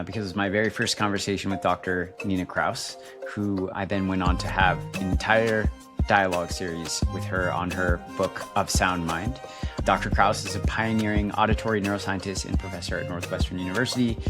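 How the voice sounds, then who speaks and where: A man hosting a podcast, introducing a guest and asking questions in an eager, friendly way. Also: lowish voice. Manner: eager, friendly, occasional fillers. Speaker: podcast host.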